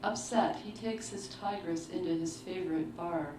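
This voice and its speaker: A woman giving a measured, deliberate literary reading of short fiction at a live book launch. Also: fairly low pitch, darkish tone. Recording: roomy, distant mic, clearly noisy live venue.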